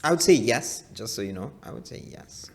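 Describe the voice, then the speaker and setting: A man of medium pitch co-hosting a comedy podcast, chatting casually, joking along and quick to echo and agree. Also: lively intonation, darkish tone.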